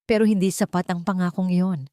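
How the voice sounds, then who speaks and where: A woman's voice: on the low side, fairly even intonation, a little fast, mellow, smooth, no echo, lightly acted. Romance story lead, read by a woman: remorseful father and CEO, earnest, emotional, pleading, in a dry recording. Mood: earnest, tender.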